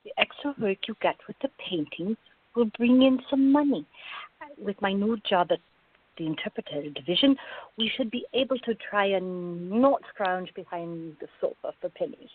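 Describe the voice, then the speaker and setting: Level-headed young woman played by an actress, slightly rough-edged voice, measured and polite, radio drama, dull recording. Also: medium pitch, lively intonation, natural voice. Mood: reasonable, patient. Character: sensible, gently teasing young working woman.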